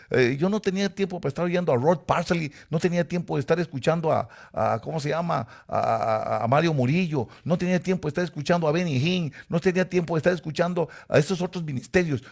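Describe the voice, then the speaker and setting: A man from Costa Rica with a Guatemalan Spanish accent, preaching a sermon and telling personal stories. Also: gravelly. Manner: animated storytelling with sudden rises in pitch and volume.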